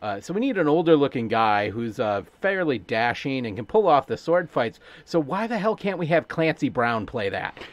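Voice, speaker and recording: A man co-hosting a podcast in casual chat, animated and quick with asides and casual swearing. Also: mid-range voice, dry recording. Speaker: podcast co-host.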